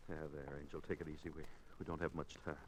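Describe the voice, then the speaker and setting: Deep-voiced, resigned condemned man. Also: level tone, a little hiss or hum. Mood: resigned, calm. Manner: subdued, halting, gently resigned. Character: resigned, tender condemned husband, a film producer. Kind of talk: 1950s radio drama acting. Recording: old 1950s radio recording.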